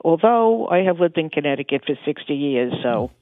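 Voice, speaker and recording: A woman's voice: low-pitched, lively intonation, normal speed, no room sound. An older woman with a New York accent, calling in to a radio show and speaking directly and earnestly. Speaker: caller. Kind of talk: phone-in chat. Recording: tinny phone line.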